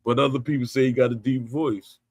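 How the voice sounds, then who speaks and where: A man's voice: mid-range voice, fairly even intonation, fairly quick, darkish tone, slightly rough, no room sound. A middle-aged man hosting a podcast, telling stories in a casual, rambling way, often restarting and correcting himself, and reading out live chat comments. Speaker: podcast host. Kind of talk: casual livestream storytelling chat.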